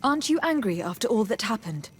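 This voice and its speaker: A woman voicing a polite, inquisitive young noblewoman diplomat in a video game, speaking in a measured, formal way. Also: a little high, big pitch swings, breathy edge. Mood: polite, composed. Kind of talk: video game dialogue acting.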